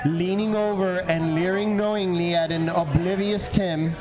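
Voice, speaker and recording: High-pitched man narrating a comic play. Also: steady tone, moderate pace, a crowd in the background.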